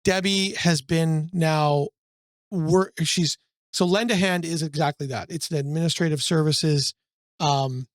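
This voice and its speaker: A man co-hosting a real estate brokerage podcast in a casual chat, rambling with fillers, his voice a little hoarse from a cold. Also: on the high side, steady tone, a little slow, no room sound, studio quality. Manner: rambling, searching for words, many fillers.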